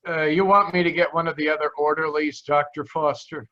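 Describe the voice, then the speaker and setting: A man's voice: on the high side, little pitch movement, fairly quick, highs cut off, lightly acted. A man playing a brusque asylum orderly in a radio drama. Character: gruff male asylum orderly.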